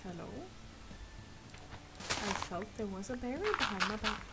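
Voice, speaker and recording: A young woman with a Swedish accent, a native Swedish speaker, chatting casually and rambling softly while she plays a game on stream. She speaks with a sore throat. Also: medium pitch, normal speed. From Sweden. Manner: rambling, soft, laughs often. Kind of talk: casual chat while gaming. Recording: loud noise behind the voice.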